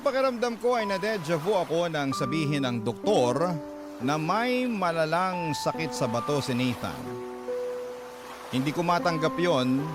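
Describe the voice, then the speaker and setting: A Filipino man with a fairly high voice narrates a dramatic radio story in the first person. Loud music plays underneath his reading.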